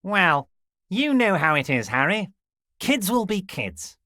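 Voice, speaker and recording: A corrupt, folksy older union boss from a video game, with a very lively, swooping delivery. He is chummy and sly, putting on innocence while scheming, all in a dry, close recording. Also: high-pitched, slow, dry recording, full character voice. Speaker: voice actor. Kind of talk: video game dialogue acting.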